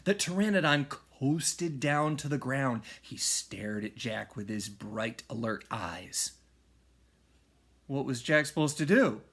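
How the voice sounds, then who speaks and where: A man reads a children's storybook aloud at a slow, deliberate pace. His delivery is dramatic and lively, with big swings in pitch and hushed, suspenseful phrasing. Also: slightly rough. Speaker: music teacher reading aloud.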